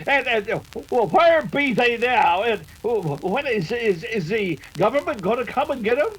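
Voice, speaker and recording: An actor playing an excitable old American rancher in a radio western, with a halting, repetitive rustic drawl. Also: male voice, very high-pitched, husky, heavily acted. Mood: eager, suspicious. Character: folksy old frontier rancher father, excitable and warm-hearted.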